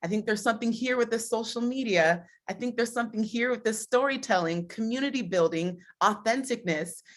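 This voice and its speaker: A woman's voice: on the high side, brisk. A young adult woman giving an upbeat motivational keynote at a summit, laughing often and stressing her points in lists. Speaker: summit speaker.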